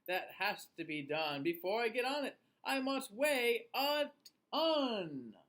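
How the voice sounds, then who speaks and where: A man plays a huge, gentle storybook elephant who means every word. His earnest talk swings widely up and down and moves slowly, with room for grumbling. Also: extremely high pitch, very animated, heavily acted. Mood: resolute. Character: Horton, big gentle faithful male elephant. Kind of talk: children's storybook read-aloud.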